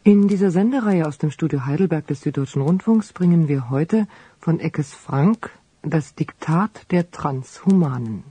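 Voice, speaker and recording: A radio announcer reads a scripted programme introduction. The voice is mellow and mid-pitched, with slight noise in the recording. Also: expressive, normal speed.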